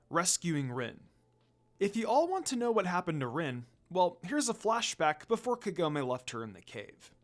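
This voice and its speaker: A narrator reading a scripted fan story dramatically, in the manner of an audiobook. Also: medium pitch. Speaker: fan fiction reader.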